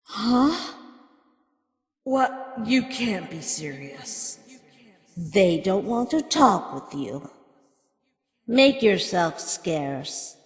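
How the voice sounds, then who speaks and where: Motherly monster guardian: stern, protective, very slow and formal delivery, lively pitch movement, cartoon dub. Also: breathy edge, exaggerated voice. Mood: cold, hostile. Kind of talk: animated comic dub voice acting. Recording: roomy, long reverb, music behind. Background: music.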